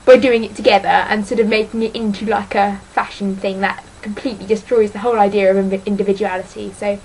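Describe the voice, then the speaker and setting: A woman's voice: mid-range voice, flat delivery, quick pace, darkish tone, a little hiss or hum, low-quality recording. A teenage girl chatting casually about books in a vlog, rambling and hesitant, full of ums and sort-ofs, self-deprecating. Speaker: book vlogger.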